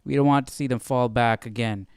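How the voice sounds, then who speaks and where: A man's voice: medium pitch, steady tone, a little fast, clean tone, no echo, clean studio sound. A man hosting a Bible study livestream with casual, conversational commentary, repeating phrases for emphasis.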